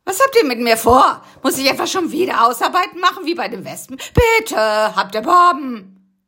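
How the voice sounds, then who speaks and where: A woman voicing a cowardly male assassin bug thief who whimpers and pleads in a very high voice. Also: very animated, moderate pace, pushed and bright, breathy edge, exaggerated voice. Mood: plaintive.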